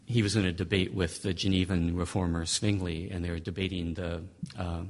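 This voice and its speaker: A man's voice: sits low, little pitch movement, a little fast. A man giving a conversational lecture on reading scripture, emphatic and fond of rhetorical questions, often restarting his phrases. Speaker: Bible teacher.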